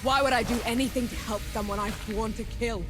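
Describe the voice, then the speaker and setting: A woman's voice: a little high, fairly even intonation, a little fast, music in the background, close to an everyday voice. A woman voicing a fierce, vengeful warrior, blunt and defiant, in a video game. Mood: defiant, threatening. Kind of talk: video game cutscene acting.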